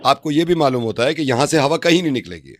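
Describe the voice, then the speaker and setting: A man playing a stern, scolding airline official in a prank call, insistent and quick to cut in. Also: mid-range voice, animated, slight background noise, natural voice. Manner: insistent, interrupting, admonishing. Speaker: prank caller. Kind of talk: improvised prank phone call.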